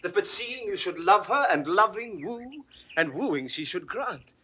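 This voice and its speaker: A man playing an eager, incredulous young romantic gentleman in 1960s recorded classical theatre. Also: high-pitched, animated, unhurried, heavily acted. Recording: faintly noisy 1960s recording.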